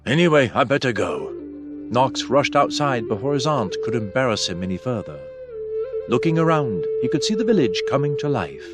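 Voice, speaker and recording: A man's voice: mid-range voice, big pitch swings, normal speed. A man reading a fantasy novel aloud as scripted audiobook narration. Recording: soft music behind the voice.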